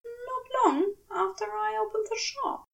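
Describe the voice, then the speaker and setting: A woman voicing a fussy, flustered male angel, prim, polite and hesitant, with breathy flutters. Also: extremely high pitch, measured pace, a bit bright, distant mic, voice pushed up, heavily acted. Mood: flustered, shy.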